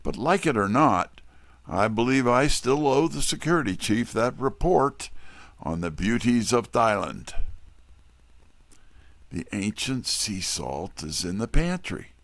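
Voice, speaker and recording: Slow, gravelly, wry detective played by a man. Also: mid-range voice, big pitch swings, a bit bright, no room sound. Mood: wry narration. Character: wry, smooth-talking male private detective narrator. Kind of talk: first-person detective narration, audio drama.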